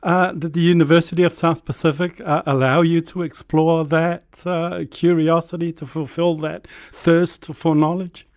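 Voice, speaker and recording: A man's voice: fairly high pitch, little pitch movement, normal speed, hardly any pauses, darkish tone, no room sound, studio quality. A male host asking interview questions, hesitant and full of fillers.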